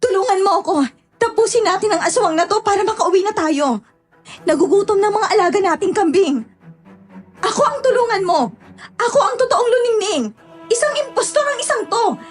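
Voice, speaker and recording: A woman's voice: extremely high pitch, brisk, soft background music. A woman voicing a fierce young village woman wielding a sickle, defiant and pleading in a horror story. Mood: desperate pleading.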